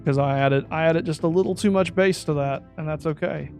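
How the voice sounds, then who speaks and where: High-voiced man walking through settings. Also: brisk, faint music behind. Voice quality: describes his own voice as high-pitched. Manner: talks through steps aloud, corrects himself mid-sentence. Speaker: streamer explaining audio setup. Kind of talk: step-by-step tutorial walkthrough. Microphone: USB desk microphone.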